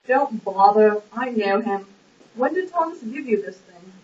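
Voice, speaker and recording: A woman acts a stubborn, loyal duke in a stage drama, blunt and quick to argue, in a fairly high voice. The sound is muffled and comes from well back from the microphone. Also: animated, a little mellow. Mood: indignant. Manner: blunt, exclamatory, argumentative. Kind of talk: stage-play acting in an amateur puppet show. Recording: echoey, muffled, distant lo-fi recording.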